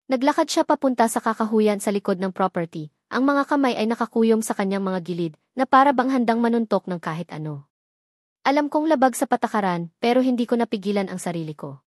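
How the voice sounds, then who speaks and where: A woman's voice: on the high side, darkish tone, dry recording, clean studio sound. A woman with a Filipino accent reading a scripted, first-person dramatic story as narrator.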